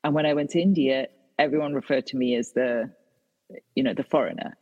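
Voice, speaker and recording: A woman's voice: a bass voice, fairly even intonation, fairly quick, breathy edge, long reverb tail, narrow, tinny audio. A British woman, an author and guest, answering interview questions with many fillers and restarts. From Britain.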